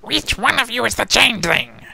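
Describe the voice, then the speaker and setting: Sharp, creaky, taunting dog villain. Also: male voice, extremely high pitch, big pitch swings, normal speed, dry recording, voice pushed up, heavily acted. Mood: gleeful menace. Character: sadistic male dog-creature villain with a spear.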